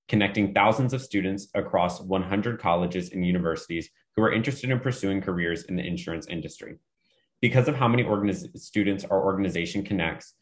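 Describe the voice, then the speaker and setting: A man moderating a panel over a video call, introducing speakers in a brisk, earnest way. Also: deep voice. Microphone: webcam video call.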